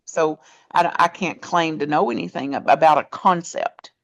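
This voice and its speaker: Woman minister, gravelly texture, halting restarts, affectionate terms for others. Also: deep voice. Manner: warm, calls people honey; halting, repeats words. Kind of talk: conversational interview answers.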